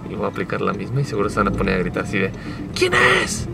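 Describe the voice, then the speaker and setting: A young Mexican man chatting casually to his vlog in a playful, animated storytelling way while driving. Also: lowish voice, rapid-fire. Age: young adult. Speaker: vlogger. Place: inside a moving car. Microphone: camera mounted on the dashboard. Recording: car cabin with clear road noise.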